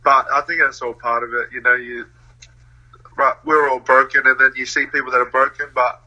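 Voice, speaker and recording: A man's voice: mid-range voice, level tone, fairly quick, sharp tone, low-quality recording. A young man from New Zealand, talking casually as an interview guest, laughing often between hesitant fillers.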